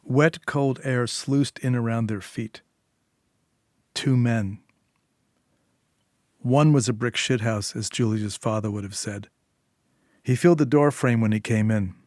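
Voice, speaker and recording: A man's voice: medium pitch, darkish tone, no echo, studio quality. A man reading a short story aloud as scripted narration. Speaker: story reader.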